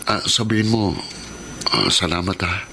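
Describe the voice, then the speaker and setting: Young Filipino father, hard-up and earnest, low voice, radio drama, clearly noisy recording. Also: measured pace, sharp tone, heavily acted. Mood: earnest, apologetic. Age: young adult.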